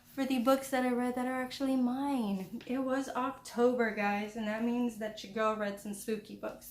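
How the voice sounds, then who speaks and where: A young woman chatting casually about books she read, rambling and self-deprecating, talking into a headphone mic at home. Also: a little high. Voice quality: small, soft voice. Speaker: book vlogger. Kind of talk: casual book review chat. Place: home room. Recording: echoey room, cheap mic.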